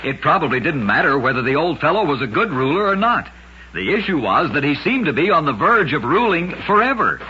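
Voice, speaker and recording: A man's voice: a little high, big pitch swings, fairly quick, sharp tone, muffled recording. A man narrating short oddity stories as a radio host, with a dramatic, emphatic scripted delivery on a vintage recording. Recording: noisy, band-limited vintage radio recording.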